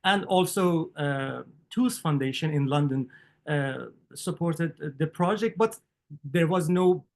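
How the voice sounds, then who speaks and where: A man from Iran with a Persian accent, a documentary filmmaker answering interview questions in a halting way, with many fillers and restarts. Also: fairly high pitch, darkish tone.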